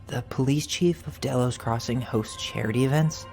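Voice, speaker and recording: A man's voice: medium pitch, normal speed, vocal fry, darkish tone, lightly acted. An actor playing a sardonic, determined and impatient young man in naturalistic video game dialogue. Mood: wry, sarcastic. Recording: music behind the voice.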